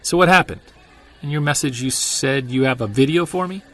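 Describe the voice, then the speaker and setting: An actor playing a weary Sasquatch investigator who narrates and talks in a low-key, guarded way in an audio drama. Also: male voice, brisk, a bit bright, close to an everyday voice.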